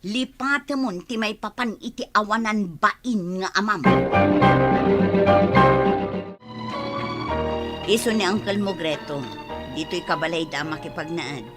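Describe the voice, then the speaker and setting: A woman's voice: mid-range voice, moderate pace, over loud music, lightly acted. An actress playing a stern mother who is leaving her child with a relative, in a Filipino radio drama. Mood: firm, evasive.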